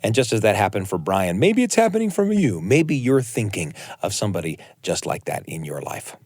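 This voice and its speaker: An American man hosting a podcast like a storyteller, with expressive, deliberate emphasis, close to a studio microphone. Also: fairly low pitch, no echo. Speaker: podcast host.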